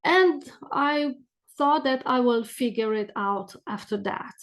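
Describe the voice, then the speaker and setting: High-voiced woman with a thick Romanian accent. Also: takes it slowly. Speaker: podcast guest, business coach. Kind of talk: conversational interview storytelling.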